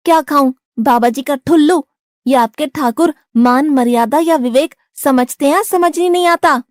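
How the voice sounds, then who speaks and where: A woman's voice: sits high, moderate pace, no room sound, studio quality, natural voice. A woman voicing a naive, stubborn young male disciple who loves food, speaking bluntly and indignantly in a cartoon story. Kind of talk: Hindi cartoon story dubbing.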